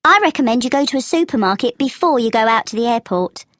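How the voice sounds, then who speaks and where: Woman playing helpful travel agent lady, high voice, goes up and down a lot, matter of fact, scripted test dialogue. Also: animated, fast talker, fairly bright tone, no room sound, clean studio sound. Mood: helpful, advising.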